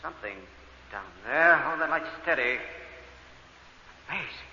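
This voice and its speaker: An excitable male space scientist thinks aloud eagerly in halting bursts, full of wonder. The voice is high for a man and intensely bright, on a muffled old radio drama recording with faint noise. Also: heavily acted. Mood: awed, absorbed.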